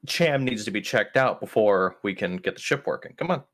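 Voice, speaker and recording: A man's voice: on the low side, animated, a little fast, fairly bright tone. A man playing a tabletop roleplaying game, chatting casually and colloquially with the group. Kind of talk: casual chat and in-character banter.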